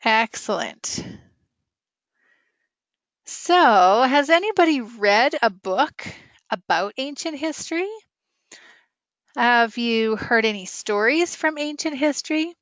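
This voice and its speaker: A woman's voice: fairly high pitch, animated, takes it slowly, long pauses, a bit bright, dry recording. A woman teaching a casual, interactive online writing lesson, chatty and full of questions for her students. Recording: dull, lo-fi online class audio.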